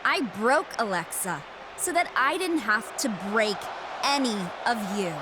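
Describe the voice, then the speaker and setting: A woman's voice: on the high side, very animated, unhurried, background noise. A woman playing an arrogant, condescending villainous wrestling champion in a video game. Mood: menacing, smug. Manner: smug, condescending, boastful. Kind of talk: scripted video game cutscene acting.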